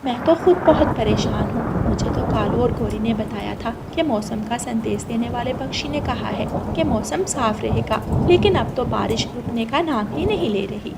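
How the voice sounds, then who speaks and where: A woman's voice: a little high, moderate pace, gravelly, few pauses, heavy background noise. A woman voicing a kind, anxious mother sparrow in a children's cartoon story, earnest and worried. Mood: troubled.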